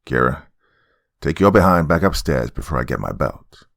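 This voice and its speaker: Man acting a desperate, hot-tempered father, gravelly. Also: extremely low pitch, very animated, moderate pace, dry recording, studio quality, pitched below the performer's own voice, exaggerated voice. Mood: stern, agitated.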